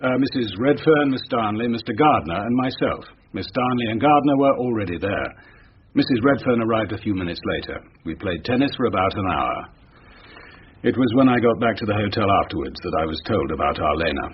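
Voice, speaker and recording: An actor playing a curt, guarded English army captain in a radio drama, speaking in a clipped, defensive and coolly reserved manner. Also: male voice, fairly low pitch, expressive, a little fast, close to an everyday voice. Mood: controlled, factual. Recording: dull radio recording with faint noise.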